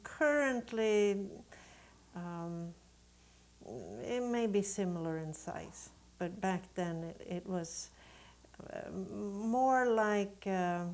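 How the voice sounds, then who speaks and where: A middle-aged or older woman from Sweden, a native Swedish speaker, telling her story as an interview guest in a measured, deliberate, reflective way. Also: medium pitch, darkish tone. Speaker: guest, Unitarian Universalist minister.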